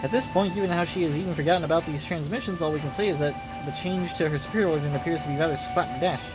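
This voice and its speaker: A man voicing an analytical gentleman detective in a game, speaking formally and at length. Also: a little high, music in the background, lightly acted, rough recording. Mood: detached. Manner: formal, measured, long-winded.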